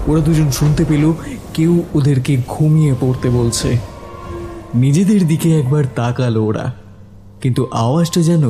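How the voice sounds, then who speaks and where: A man's voice: medium pitch, mellow. A man narrating a scripted horror story as its storyteller.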